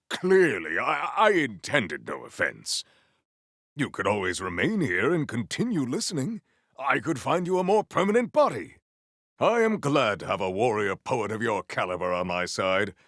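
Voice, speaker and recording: Game warrior-poet: battle-eager, lofty formal diction, gravelly edge, very dry close sound. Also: male voice, fairly low pitch, a bit bright, dry recording, full character voice. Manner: grandiose, formal, theatrical diction. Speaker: video game voice actor. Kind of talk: scripted game character lines.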